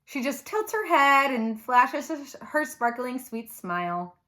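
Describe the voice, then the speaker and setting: A woman reading a children's novel aloud like a teacher, with animated, dramatic emphasis. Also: high voice, slow pace.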